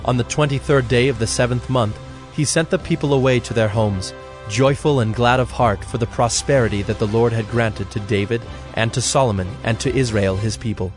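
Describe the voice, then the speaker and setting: A man reading scripted Bible narration in a measured, solemn, clearly articulated way. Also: mid-range voice. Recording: background music under the voice.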